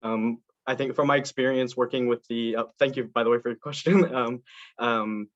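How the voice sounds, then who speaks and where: An earnest young man from Indiana makes his case as a student council candidate. He talks with frequent ums and restarts, in a mid-pitched voice. The video call audio is dull and dry. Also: steady tone, moderate pace, dry recording. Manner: earnest, with many fillers and restarts. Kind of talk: campaign speech and answers to questions.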